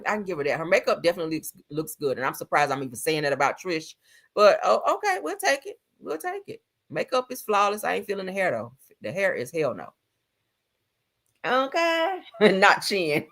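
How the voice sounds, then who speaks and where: A woman hosting a gossip livestream, giving casual reaction commentary in a sassy, colloquial way with drawn-out asides. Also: medium pitch, a bit bright.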